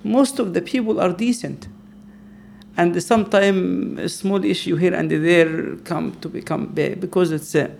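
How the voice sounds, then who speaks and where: Gravelly imam, hesitant speech. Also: male voice, high-pitched, measured pace, darkish tone, slight background noise. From Egypt. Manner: hesitant, restarts with many uhs. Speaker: imam and campus chaplain. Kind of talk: interview soundbite.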